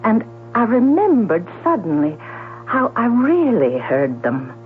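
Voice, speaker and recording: An actress playing a troubled, persistent sister in a 1970s radio drama, deliberate and dramatic. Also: female voice, a little high, sing-song, slight background noise. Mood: grave, accusing. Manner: deliberate, dramatic, repeats questions. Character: troubled, persistent woman confronting her sister about murder. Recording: tinny, humming 1970s radio recording.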